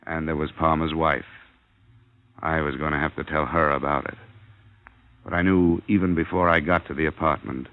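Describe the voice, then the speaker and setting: An American actor playing a tough, cynical insurance investigator in a radio crime drama, with a clipped, blunt delivery. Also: male voice, a bass voice, fairly even intonation, normal speed, long pauses. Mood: businesslike. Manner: clipped, hard-boiled, blunt. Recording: dull vintage radio recording.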